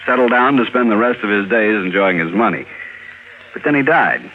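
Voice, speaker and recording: An actor playing a businesslike, suspicious insurance manager in a 1950s radio drama, measured and emphatic. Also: male voice, fairly low pitch, animated, close to an everyday voice. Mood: informative. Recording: tinny, slightly noisy vintage radio recording.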